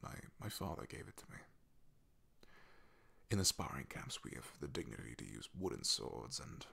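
A man plays a loyal, bashful knight in a fantasy ASMR roleplay. His voice is very low and heavily gravelled, with a very breathy, husky grain. He speaks softly and haltingly, awkward but devoted. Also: very animated, heavily acted. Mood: wistful, reflective. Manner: hesitant, halting, soft-spoken. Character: loyal young male knight, shy, awkward, devoted, soft-spoken.

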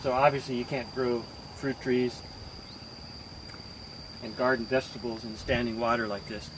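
A man's voice: medium pitch, flat delivery, moderate pace. An older man giving a casual walking tour of his rural homestead, explaining his methods in a plain, practical, step-by-step way. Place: outdoors on a rural homestead with rice fields around. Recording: outdoor recording with insects and rural noise.

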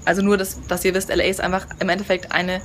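A woman's voice: fairly low pitch, monotone, quick pace. Chatty young woman vlogging on her phone. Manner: chatty, bubbly, lots of fillers. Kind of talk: casual travel vlog. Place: outdoors by a house and a hotel pool. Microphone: phone at arm's length. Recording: outdoor phone audio with background noise.

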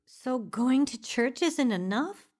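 A woman's voice: high voice, lively intonation, slow pace, a little mellow, full character voice. An actress playing a troubled young female college student in an audio drama, with a hesitant, questioning manner. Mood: searching.

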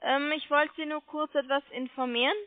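A woman telemarketer making a sales pitch over the phone, persistent and polite, coaxing with check-back questions. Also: fairly even intonation, moderate pace, no room sound. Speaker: telemarketing caller selling online trading. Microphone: telephone call. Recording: muffled phone line.

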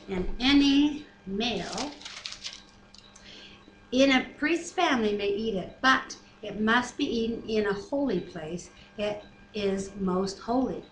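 A middle-aged woman reading scripture aloud with casual commentary at home, picked up by a computer webcam microphone. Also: mid-range voice. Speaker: Bible reader and discussion co-host. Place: living room at home. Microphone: computer webcam mic at desk distance. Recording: roomy, echoey home webcam, faint background noise.

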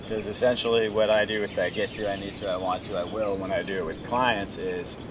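A man's voice: fairly low pitch, fairly even intonation, brisk. A middle-aged man hosting a casual conversational interview as a coach, talking with a friend. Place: outdoors on paddleboards among mangroves. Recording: very noisy outdoor recording with birds. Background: birds and outdoor ambience.

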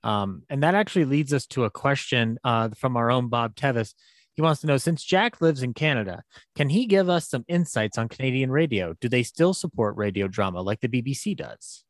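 A man hosts an interview in a relaxed conversational style. His voice is medium in pitch, and the recording is dry, with little room sound. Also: a little fast, no echo. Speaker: interviewer, host. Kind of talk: casual interview chat.

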